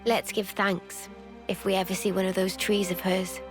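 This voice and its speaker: An actress playing a tender, appreciative young heroine in a fantasy video game, with an informal, soft-hearted manner. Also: female voice, mid-range voice, flat delivery, moderate pace, music in the background, close to an everyday voice. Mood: touched, grateful. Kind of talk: video game cutscene acting.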